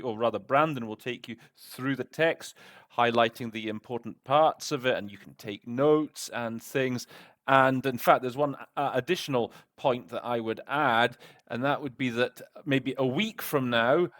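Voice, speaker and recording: A man's voice: medium pitch, a bit bright. A man hosting an online interpreting tutorial, chatty and self-deprecating, with many fillers and restarts. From Britain. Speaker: host. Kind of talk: casual tutorial introduction.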